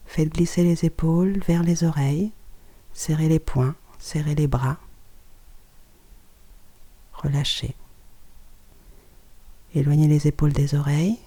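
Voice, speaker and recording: Soft breathy yoga nidra guide, mellow voice, calm short instructions w pauses, almost whispering. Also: medium pitch. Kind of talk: guided relaxation meditation, scripted instructions.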